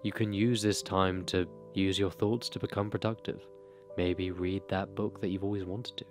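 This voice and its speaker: Young male vlogger: earnest, direct motivational delivery, lowish voice, close-miked in a bedroom over soft music. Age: young adult. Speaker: self-improvement YouTuber. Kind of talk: talking-head advice monologue. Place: small bedroom. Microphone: desk broadcast mic close to mouth.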